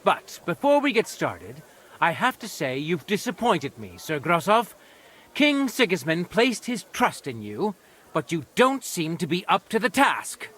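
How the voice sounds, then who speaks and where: An actor playing a stern, shrewd medieval nobleman in judgment, speaking in a measured, imperious and dryly sardonic way for a video game. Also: high voice, huge pitch swings, a bit bright, heavily acted. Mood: dry, reproachful. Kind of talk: dramatic video game acting.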